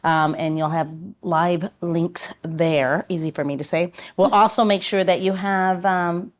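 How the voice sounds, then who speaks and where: A woman's voice: lowish voice, steady tone, measured pace. A woman hosting a podcast in a conversational style, with a playful, joking way of talking. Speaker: podcast host.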